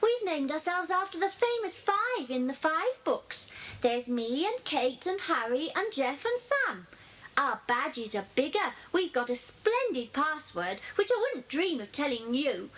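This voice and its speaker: A woman's voice: high voice, sing-song, measured pace, fairly bright tone, full character voice. A woman playing a bossy, mischievous schoolgirl in a children's audio drama, boastful and conspiratorial, on an old cassette recording. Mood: boastful, taunting. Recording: noisy old cassette transfer.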